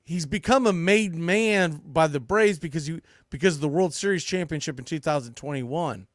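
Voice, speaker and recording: A man's voice: fairly high pitch, moderate pace, no room sound, clean studio sound. A man with an American accent giving blunt, emphatic, opinionated baseball commentary as a sports podcast host. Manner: blunt, emphatic, mildly salty language.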